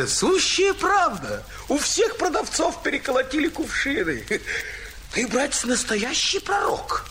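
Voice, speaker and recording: Jolly, laughing carefree fellow; high, swooping delivery over music. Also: male voice, a little slow, a little hiss or hum, heavily acted. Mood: merry. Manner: laughing, lively, playful. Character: merry poor man Gasan, jolly, carefree. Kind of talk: audio fairy tale acting.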